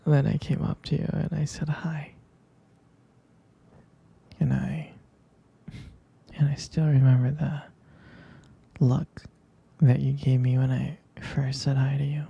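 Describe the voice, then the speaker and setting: A man's voice: mid-range voice, level tone, dark tone, dull audio, full character voice. A man playing a tender, sleepy half-vampire boyfriend in an intimate ASMR roleplay, murmuring and whispering hesitantly with affectionate repetition. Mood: tender, nostalgic. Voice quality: breathy, gravelly whisper and murmur. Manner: slow, hesitant, repeating phrases, affectionate.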